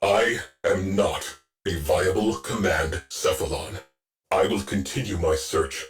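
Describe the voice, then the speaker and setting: Deep, slow, stern military ship AI. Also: male voice, soft background music. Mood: grave, brooding. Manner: clipped, terse, solemn military delivery. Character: stern, weary veteran military ship AI, haunted by loss. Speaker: mission control and ship intelligence. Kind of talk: scripted video game mission briefing.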